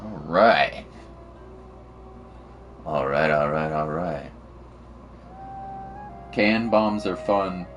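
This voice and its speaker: A man's voice: deep voice, extremely slow, pauses often, fairly bright tone, lo-fi sound, soft background music. A man streaming a game, chatting casually in a rambling, teasing, slangy way. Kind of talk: casual chat while playing.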